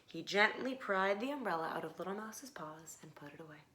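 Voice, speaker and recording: Animated woman reading a picture book. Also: on the low side. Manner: dramatic pauses, hushed phrases for effect. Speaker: storybook reader. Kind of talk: expressive children's picture-book narration. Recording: faint background noise.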